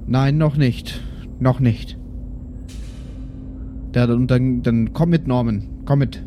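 A man's voice: mid-range voice, fairly even intonation, takes it slowly, dark tone, a little hiss or hum, lightly acted. A man playing a protective, placating doctor and father with a hesitant manner. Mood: cautious, protective. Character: cautious, placating male doctor and adventurer father.

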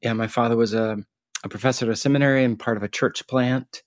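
A man's voice: mid-range voice, steady tone, fast talker, no echo, clean studio sound. A man from Guatemala answering interview questions in an easygoing, modest way. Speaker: guest theologian.

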